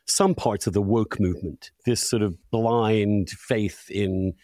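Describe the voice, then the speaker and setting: A man's voice: lowish voice, steady tone, takes it slowly, darkish tone, dry recording, studio quality. A middle-aged man hosting a podcast discussion, speaking in an emphatic, opinionated, conversational way. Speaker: podcast host.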